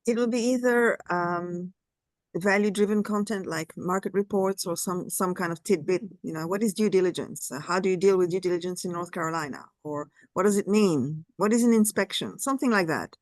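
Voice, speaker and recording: A woman's voice: fairly low pitch, brisk, dry recording. French-accented woman on a video call. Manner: friendly, candid, searches for words. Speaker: realtor. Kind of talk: casual meeting conversation.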